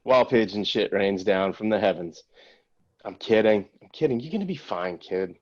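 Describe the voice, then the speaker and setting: A man's voice: on the low side, lively intonation, normal speed, a little mellow, muffled recording. An actor reading a smug, balding male showrunner in his fifties at a table read, mocking and bullying.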